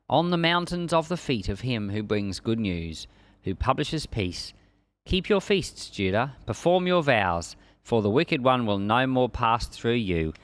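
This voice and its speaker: A man's voice: mid-range voice, a bit bright, no room sound. A man preaching and teaching from the Bible in an emphatic, conversational way. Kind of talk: Bible reading and informal expository sermon.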